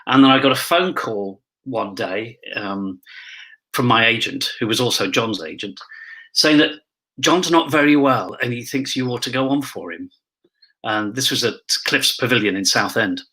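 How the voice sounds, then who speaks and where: Bright-voiced older actor, drily theatrical. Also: male voice, medium pitch, normal speed, rough recording. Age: 60s. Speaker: actor and pantomime dame, interview guest. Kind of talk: casual anecdotal chat.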